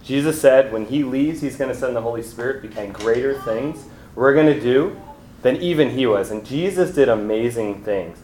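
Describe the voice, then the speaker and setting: A young man, a graduate student, earnestly telling a personal faith story with some halting restarts. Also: mid-range voice, a little mellow, slight background noise. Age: young adult. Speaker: graduate student giving testimony. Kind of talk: personal testimony. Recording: echoey room.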